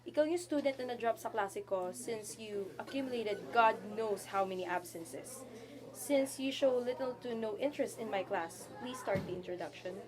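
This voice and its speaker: A woman's voice: fairly high pitch, normal speed, a crowd in the background, close to an everyday voice. A woman playing a stern, sarcastic college teacher in an amateur radio drama, formal and cutting.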